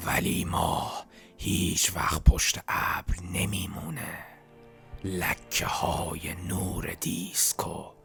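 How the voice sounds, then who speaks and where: A cruel male voice that lives inside the detective's head, played by a man in a fan game dub. It whispers low and gravelly, with a sharp, forceful edge, and every line sneers and needles. Faint music runs underneath. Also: full character voice. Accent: Persian. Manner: scornful, needling, mocking.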